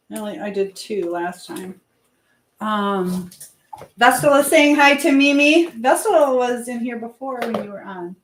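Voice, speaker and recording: A woman's voice: medium pitch, echoey room, a little hiss or hum, rough recording. A woman hosting a live stream in casual chat, drifting informally between advice to viewers and comments on what she is doing.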